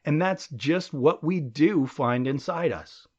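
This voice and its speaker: A man narrating an audiobook essay in a measured, emphatic, rhetorical way, as if reasoning with the listener. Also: on the high side. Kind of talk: scripted audiobook reading of an essay. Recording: dull, muffled lo-fi audio.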